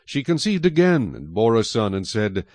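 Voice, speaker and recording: A male narrator reading scripture as a dramatic story. His voice is on the low side with lively, expressive intonation. The recording is close and dry. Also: normal speed, no echo.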